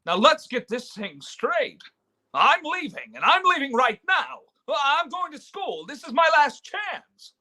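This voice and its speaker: Man acting high-pitched, impassioned young idealist. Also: very animated, a little slow, pushed and bright, exaggerated voice. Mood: dismayed, insistent. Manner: stammering, impassioned. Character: earnest, indignant, idealistic young man defending his father. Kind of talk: live radio play reading. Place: remote online reading from home.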